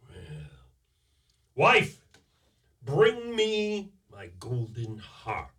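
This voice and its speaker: An actor playing a bellowing, menacing old man-eating ogre in a radio play, with a gruff, growling voice. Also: huge pitch swings, a bit bright, recorded from a distance, full character voice. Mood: grumbling, commanding. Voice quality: growling, gravelly. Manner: slow, booming, theatrical roaring. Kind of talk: live radio play acting.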